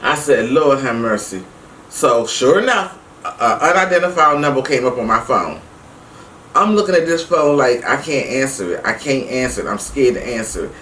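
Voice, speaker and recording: A middle-aged woman telling a personal story casually to her viewers, emphatic and animated, acting out the dialogue as she goes. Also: extremely low pitch, moderate pace. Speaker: vlog host. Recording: roomy, distant mic with faint noise.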